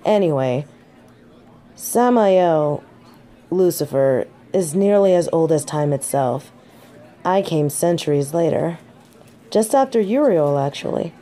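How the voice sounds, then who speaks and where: A woman's voice: on the low side, animated, slow pace, long pauses, warm tone. A woman playing a snobby, centuries-old fallen angel in denial, speaking in formal, pompous phrasing in a scripted audio roleplay. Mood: fond, reminiscing. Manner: formal, precise, pompous diction. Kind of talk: scripted character roleplay monologue.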